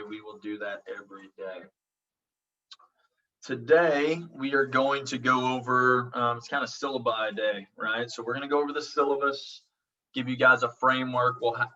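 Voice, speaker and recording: Bright-voiced Oklahoma man teaching online. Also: mid-range voice. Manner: reassuring, frequent ums, checks in with "okay?". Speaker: college instructor. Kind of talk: casual online class introduction. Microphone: video call. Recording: dull lo-fi audio from a distance.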